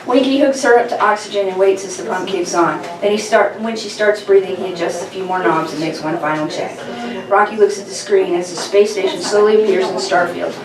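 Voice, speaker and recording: A woman's voice: medium pitch, a little fast, a crowd in the background. A woman narrates stage directions from a script in an echoey room. She sits some way from the mic, with other people audible around her. It sounds like an informal table read. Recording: roomy, distant mic, chattering group behind.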